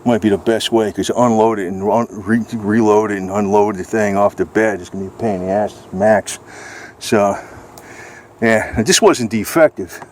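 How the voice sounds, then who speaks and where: American male vlogger, lowish voice, plain-spoken and salty, off-the-cuff rambling, slightly noisy amateur recording with vehicle ambience. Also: moderate pace, a little mellow. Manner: informal, salty, matter-of-fact. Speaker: amateur video blogger. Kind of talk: casual rambling vlog chat.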